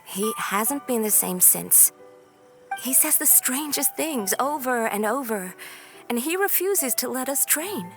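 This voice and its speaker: A woman's voice: fairly high pitch, lively intonation, a little slow, over music. A woman playing a worried, earnest young martial disciple in a video game, speaking in a troubled way.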